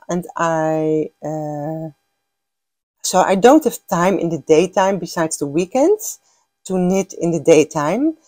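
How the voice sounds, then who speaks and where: Older Dutch woman, native Dutch, accented English, warm low voice, dry close sound, chatty vlog host. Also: no room sound. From Netherlands. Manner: chatty, gushing, with fillers and restarts. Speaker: knitting podcast host. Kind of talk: casual chat.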